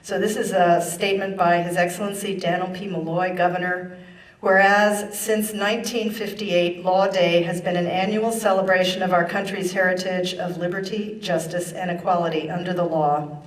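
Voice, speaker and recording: A woman speaking formally and in a measured way as an official at a ceremony. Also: lowish voice, fairly even intonation. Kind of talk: formal ceremony speech and proclamation reading. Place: large echoey indoor ceremony room. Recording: echoey room, distant microphone.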